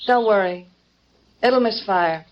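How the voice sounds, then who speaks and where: An actress playing a scheming, two-faced wife in an old-time radio melodrama, in short dramatic phrases. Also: female voice, medium pitch, takes it slowly, natural voice. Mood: cold, conspiratorial. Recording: dull, noisy vintage radio recording.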